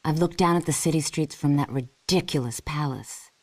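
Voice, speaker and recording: A woman's voice: low voice, normal speed, a little mellow. An actress playing a sincere, idealistic young noblewoman rebel in a video game. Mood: sincere, wistful. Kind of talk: animated video game cutscene acting.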